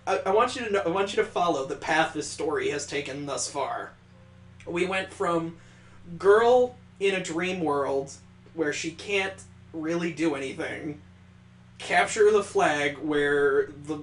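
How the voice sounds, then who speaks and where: A man's voice: fairly high pitch, lively intonation, unhurried, faint music behind. A man giving mocking, sweary commentary as he reads a visual novel aloud on a gaming playthrough. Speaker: gamer host reading a visual novel aloud. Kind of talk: playthrough commentary mixed with dramatic reading. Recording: echoey, roomy sound.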